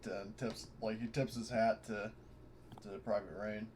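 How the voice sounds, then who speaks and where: Male gamer, lowish voice, casual delivery, slight background noise. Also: flat delivery, measured pace, darkish tone. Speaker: tabletop game player. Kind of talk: casual chat during a game. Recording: noisy voice chat.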